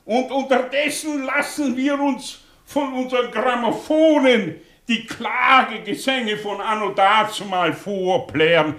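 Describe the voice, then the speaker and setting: An ageing, embittered professor in a radio play. The voice rides high for a man and moves slowly, with declamatory weight and a brooding, volatile temper. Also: a bit bright. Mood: prophetic, agitated. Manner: declamatory, slow, dramatic. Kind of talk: 1960s German radio drama acting.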